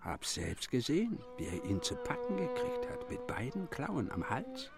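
An actor playing a superstitious villager in a radio drama, telling an eerie tale with excitement. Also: male voice, high voice, moderate pace, full character voice. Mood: eerie, awed. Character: superstitious villager telling of devil and ghostly horse. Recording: very loud fiddle music behind.

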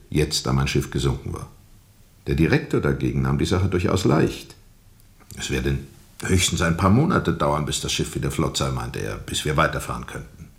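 An actor playing a world-weary riverboat captain in a radio drama, recounting his journey in deliberate, reflective, literary phrasing. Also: a bass voice, creaky, exaggerated voice. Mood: uneasy, doubtful.

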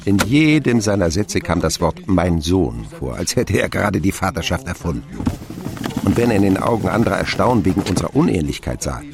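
A man narrating a radio play as an older character wryly recalling his boyhood in a measured storytelling manner. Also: deep voice, very animated, creaky, exaggerated voice. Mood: amused, wry.

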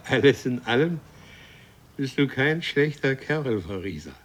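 An actor playing an imperious warrior from a future race in a radio play, with a commanding, grandiose and menacing way of speaking. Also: male voice, medium pitch, big pitch swings, measured pace. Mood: familiar, expansive. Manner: commanding, grandiose, solemnly threatening. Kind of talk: German radio play acting.

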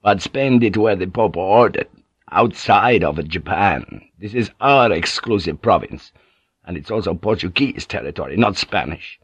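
A man's voice: low-pitched, animated, measured pace, husky, no room sound, dull audio, exaggerated voice. A man reading an audiobook and voicing a stern, commanding church leader. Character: stern authoritative older male church leader.

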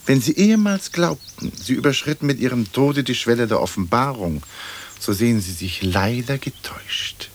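An actor playing a pompous, wry old aristocratic ghost in a radio play, speaking in stately, formal phrases. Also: male voice, medium pitch, expressive, normal speed, lightly acted. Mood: philosophical. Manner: stately, long-winded, formal diction. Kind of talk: German radio play acting. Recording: old radio recording with faint noise.

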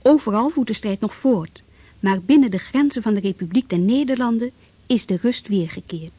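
A woman narrates a historical tale for a radio drama, grave and measured. Her voice is mellow and a little husky. The dry old recording is dull and lo-fi, with faint music under her. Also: mid-range voice, no room sound.

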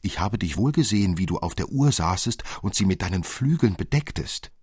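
Man voicing an anxious little girl, husky. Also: sits low, lively intonation, a little fast, a little mellow, dry recording, clean studio sound. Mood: reproachful.

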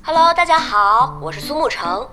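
A woman's voice: high-pitched, sing-song, moderate pace, over music, rough recording. An actress playing a friendly, bubbly young female gaming star in a Chinese audio drama. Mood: cheerful.

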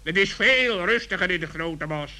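A man's voice: sits high, expressive, normal speed, bright, forceful tone, exaggerated voice. A man playing a polite, sensible male talking forest bird in a children's radio play.

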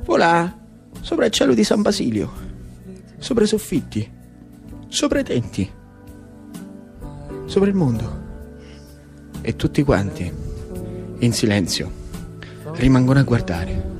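Animated Roman man telling a story. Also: mid-range voice, unhurried, gravelly, long pauses, faint music behind. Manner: short dramatic phrases with repetition. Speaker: actor-narrator. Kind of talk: theatrical storytelling for radio.

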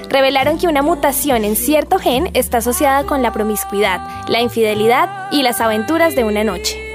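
A woman with a Colombian accent delivering scripted radio narration. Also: sits high. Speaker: radio presenter.

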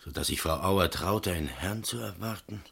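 Very deep-voiced, exacting tradesman. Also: expressive, normal speed, creaky, a bit bright, heavily acted. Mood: curious. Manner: precise, emphatic, clipped technical explanations. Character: matter-of-fact male electrician and shop owner, master of apprentices. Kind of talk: radio crime drama dialogue.

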